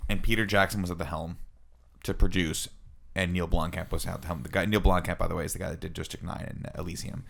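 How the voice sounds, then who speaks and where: A man's voice: sits low, little pitch movement, fast, creaky, a bit bright. An American man in his thirties co-hosting a casual panel podcast, earnestly explaining and posing questions in a rambling way. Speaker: podcast co-host introducing his topic.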